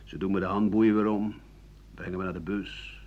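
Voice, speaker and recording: An actor playing a bitter, aging exiled writer-doctor in a radio drama monologue, sardonic and halting, often sinking to a husky mutter. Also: male voice, deep voice, steady tone, a little mellow. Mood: grim, resigned. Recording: muffled 1980 radio broadcast.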